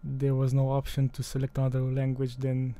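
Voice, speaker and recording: A man whose native language is Romanian, talking through a software tutorial in a casual, thinking-aloud way with mild exasperation. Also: mid-range voice, monotone, a little fast. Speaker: tutorial maker. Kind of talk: screen-recorded software tutorial.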